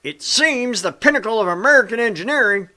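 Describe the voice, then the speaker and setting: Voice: medium pitch, animated, brisk, pushed and bright. A performer voicing a stern, foul-mouthed tank instructor sergeant who lectures and barks insults like a drill instructor in a video game. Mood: commanding. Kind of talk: military drill shouting and lecturing.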